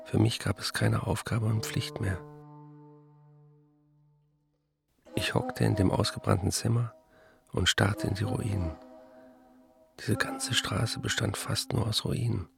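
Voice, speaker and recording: A man's voice: fairly low pitch, creaky. A man reading the letters and memoirs of a weary, despairing middle-aged writer in a subdued, resigned manner. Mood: hopeless. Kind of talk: broadcast documentary reading.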